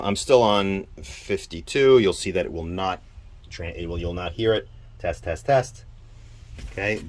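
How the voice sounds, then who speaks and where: Deep-voiced man over background noise. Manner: halting, thinking aloud. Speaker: presenter demonstrating radio equipment. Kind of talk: informal hands-on demonstration.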